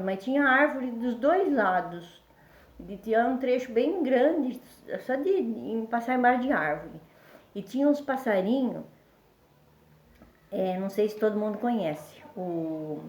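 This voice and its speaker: An older Brazilian woman speaks slowly and unhurriedly, with a medium pitch. She rambles through her memories, full of fillers and self-corrections, and sounds slightly congested. The room gives her voice a noticeable echo. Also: a little mellow. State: congested, a bit under the weather. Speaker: vlogger telling family memories. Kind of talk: casual storytelling.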